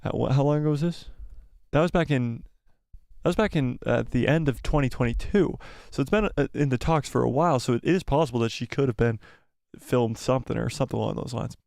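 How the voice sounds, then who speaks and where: A man hosting a live stream, chatting casually and reading out viewer comments. His voice is going hoarse and he rambles and repeats himself as he thinks aloud. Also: medium pitch, brisk, a little mellow, no echo, clean studio sound. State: losing his voice, coughing. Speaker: livestream host.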